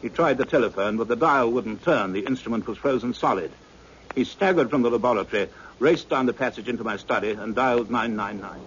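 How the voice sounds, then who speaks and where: An actor playing a grave, fatherly doctor with intense, measured storytelling in a 1960s radio drama. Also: mid-range voice, a bit bright, natural voice. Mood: grave, suspenseful. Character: grave, fatherly doctor of science narrating events. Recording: dull, noisy old radio recording.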